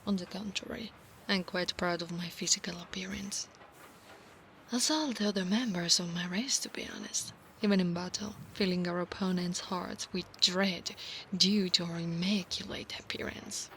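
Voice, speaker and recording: A woman's voice: lowish voice, fairly even intonation, moderate pace, a bit bright. Woman playing a proud Italian-accented centaur knight, noisy ambience. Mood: calm, proud. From Italy. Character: proud, obsessive, battle-hungry female centaur knight. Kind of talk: ASMR roleplay spoken to the listener. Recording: clear background noise, ambience.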